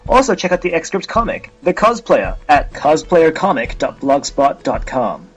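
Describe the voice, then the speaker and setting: Casting: male host for scripted end-of-episode credits, slightly high voice over soft music. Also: very animated, moderate pace, breathy edge, highs cut off. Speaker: host and voice actor. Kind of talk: scripted closing credits announcement.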